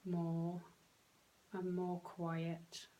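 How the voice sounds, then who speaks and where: Very slow-speaking woman guiding a meditation. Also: fairly low pitch, monotone. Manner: gentle, unhurried, with long pauses between short phrases. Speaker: meditation guide and coach. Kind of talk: guided meditation, soothing instruction.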